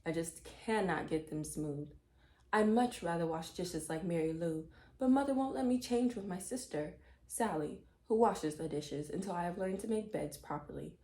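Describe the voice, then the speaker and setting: A woman's voice: lowish voice, normal speed, dark tone, lightly acted. Woman reading as a grumbling young girl, echoey. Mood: exasperated. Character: young girl grumbling about chores, mouth full of cookie.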